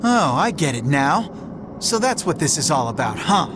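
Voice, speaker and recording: A man's voice: fairly high pitch, sing-song, moderate pace, noisy background. A man playing a plain-spoken, good-hearted young hero in a video game cutscene, casual, slangy and frank. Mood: unimpressed, frank.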